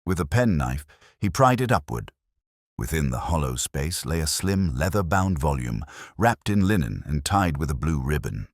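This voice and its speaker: A man narrating a scripted detective story as an audiobook, with measured, literary delivery. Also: rumbling low, very animated, dry recording, clean studio sound.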